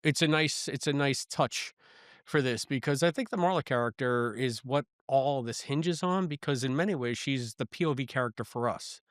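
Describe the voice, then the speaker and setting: A man's voice: mid-range voice, moderate pace, no room sound, clean studio sound. A man co-hosting a podcast, talking through a review in a rambling, casual way with stammered restarts. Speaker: podcast co-host.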